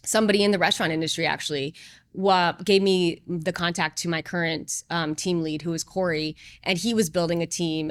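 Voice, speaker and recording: A woman in her thirties speaks in a slightly low voice. She answers questions in an earnest, reflective way, full of ums and restarts. The sound is dry and close. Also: fairly bright tone, no room sound. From moved from Singapore to Las Vegas as a child. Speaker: real estate agent, guest. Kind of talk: casual interview conversation.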